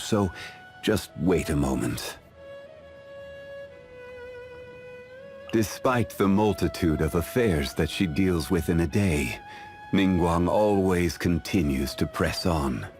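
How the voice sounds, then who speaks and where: Ancient immortal man, game character, very deep voice, slow deliberate delivery, composed, refined, wise. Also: a little mellow. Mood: reflective, composed. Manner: measured, formal, refined, deliberate. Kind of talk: scripted video game character voice lines.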